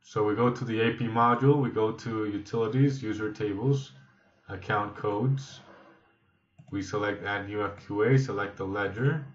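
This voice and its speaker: Male software trainer, lowish pitch, halting step-by-step explanation, recorded in a reverberant room. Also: fairly even intonation. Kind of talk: instructional screen-demo narration. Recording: echoey room, slightly dull sound.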